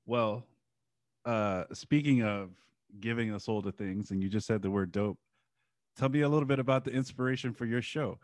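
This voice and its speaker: Male host, low-ish pitch, steady friendly questions, dry mic. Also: no room sound. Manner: measured, friendly, hesitant with fillers. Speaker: interview host. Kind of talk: interview questions.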